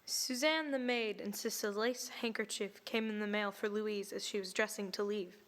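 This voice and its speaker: A woman's voice: medium pitch, steady tone, normal speed, a bit bright, natural voice. An actress playing a worried woman of the household who speaks in a tense, strained way. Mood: anxious.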